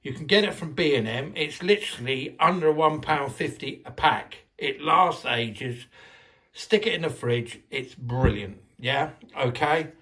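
A man's voice: on the high side, expressive, a little slow, sharp tone. An older man in a home kitchen, chatting casually through a how-to with lots of fillers and restarts, filmed on a handheld phone. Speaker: home video maker.

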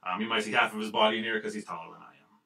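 A man's voice: fairly low pitch, steady tone, very fast, gravelly, sharp tone. A man hosting a radio show in casual chat, with a profane, self-deprecating, joking manner. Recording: distant microphone.

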